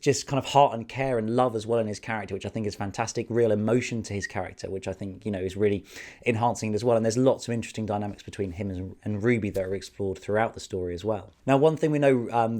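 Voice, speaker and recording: A man talking through a review in a loose, excited ramble, full of fillers and restarts. His voice sits somewhat low and sounds hoarse from a cold. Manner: enthusiastic, chatty, many fillers. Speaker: video reviewer. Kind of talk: casual spoken review.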